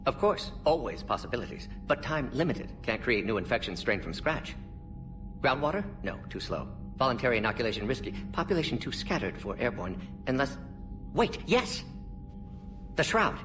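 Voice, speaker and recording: An actor playing a fast-thinking, clinical alien scientist in a video game, speaking in clipped, telegraphic phrases. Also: male voice, medium pitch, sing-song, recorded from a distance, music in the background, close to an everyday voice. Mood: excited thinking aloud. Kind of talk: video game cutscene acting.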